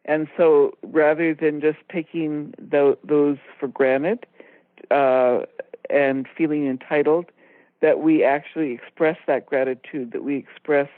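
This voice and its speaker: A woman co-hosting a radio talk show, explaining her points in a measured, orderly way. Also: low-pitched, steady tone, no room sound. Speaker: co-host and author. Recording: dry tinny phone line.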